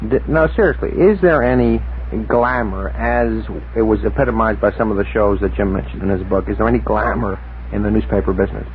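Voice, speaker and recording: A man's voice: fairly low pitch, fairly quick. A man hosting a radio talk show, interviewing casually and off the cuff in a direct, questioning way. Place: radio studio. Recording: noisy tinny 1960s radio broadcast recording.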